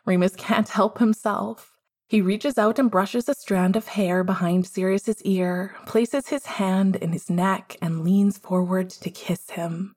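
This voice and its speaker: A woman reading a story aloud as its narrator, in a measured storytelling manner. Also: mid-range voice, steady tone, a little mellow. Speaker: fiction narrator.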